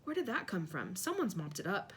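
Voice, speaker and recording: Woman voicing a curious schoolboy, plain and quick, lively pitch. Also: slightly rough, full character voice.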